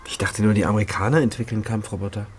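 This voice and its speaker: A man's voice: lowish voice, fairly even intonation, racing, slight background noise, natural voice. A man playing a weary, dutiful state prosecutor, narrating soberly and introspectively in a radio drama. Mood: probing. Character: weary, dutiful male state prosecutor telling his story. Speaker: narrating lead actor. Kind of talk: first-person radio drama narration and dialogue.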